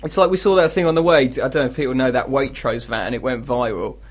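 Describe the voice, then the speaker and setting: A man's voice: mid-range voice, fast, slightly rough. An English man co-hosting a radio show with casual, comedic, grumbling banter in a studio. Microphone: studio microphone.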